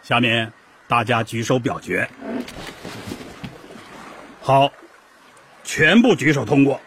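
A man's voice: mid-range voice, slow, a bit bright, a little hiss or hum. A man acting in a Chinese historical radio drama as an earnest, formal chairman of a secret revolutionary meeting. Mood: formal, solemn.

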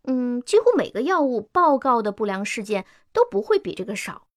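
A woman's voice: fairly high pitch, big pitch swings, fairly quick, no echo, studio quality. A woman playing a timid, earnest, educated employee in a radio drama, hesitant and deferential as she speaks up. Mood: earnest, objecting. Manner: hesitant, deferential, meekly objecting.

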